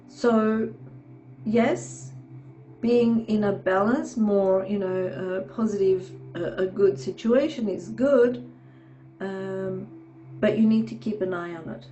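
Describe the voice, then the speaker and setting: A woman giving a tarot reading, hoarse from a sore throat, talking haltingly with many fillers and frequent "okay"s. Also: mid-range voice, mellow, dull audio, slight background noise. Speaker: tarot reader.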